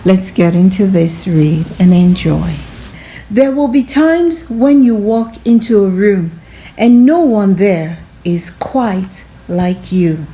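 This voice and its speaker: Female storyteller, mid-range and soft-toned, unhurried and gentle, for children's picture book read-alouds. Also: mid-range voice, expressive.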